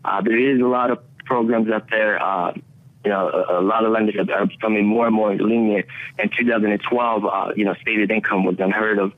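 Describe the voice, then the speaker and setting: Male phone-in guest, native Spanish speaker, low voice, halting speech full of "you know", tinny phone line. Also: fairly even intonation. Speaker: mortgage loan officer guest. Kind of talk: casual radio interview.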